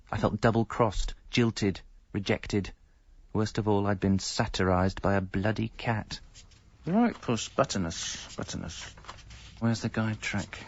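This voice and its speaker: An actor playing a hapless, self-pitying separated dad in a radio comedy, rueful and wry as if talking to himself. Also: male voice, lowish voice, darkish tone, dry recording, lo-fi sound, lightly acted. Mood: muttering, distracted. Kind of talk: radio comedy monologue and dialogue.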